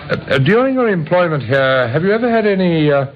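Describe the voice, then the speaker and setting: An actor playing a methodical, dryly wry police inspector in an old radio crime drama, hesitant and musing with hums and pauses. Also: male voice, fairly high pitch, very animated. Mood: probing, polite. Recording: dull, noisy old radio recording.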